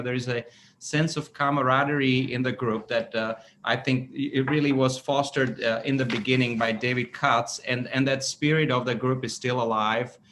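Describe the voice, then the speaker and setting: Man, orchestra conductor, interview talk, medium pitch, halting and stammering delivery, background noise. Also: a bit bright. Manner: halting, stammering, searching for words.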